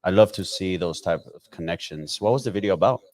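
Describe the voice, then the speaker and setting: A man from Colombia hosting a podcast, talking in a relaxed way with halting restarts. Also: low voice. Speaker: podcast host. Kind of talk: casual podcast interview.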